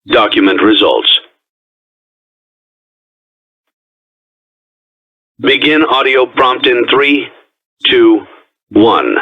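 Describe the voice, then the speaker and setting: An actor playing a calm, ominous businessman in a video game, reading formal, measured instructions through an old tape filter. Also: medium pitch. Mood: flat, procedural. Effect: old cassette tape, radio-like filter. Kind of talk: scripted instructional tape narration. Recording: tinny, phone-like old tape recording.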